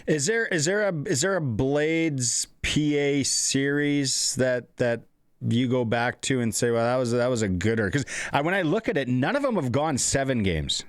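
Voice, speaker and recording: A middle-aged Canadian man with a smooth, clear voice of medium pitch, recorded very dry. He asks questions as a sports show interviewer, in informal, short, casual remarks. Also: lively intonation, moderate pace, no room sound.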